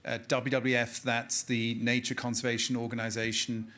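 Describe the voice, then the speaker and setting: A man's voice: mid-range voice, level tone, normal speed, bright, forceful tone. A middle-aged man, a nonprofit chief executive, introducing his views on a panel. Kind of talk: spoken self-introduction.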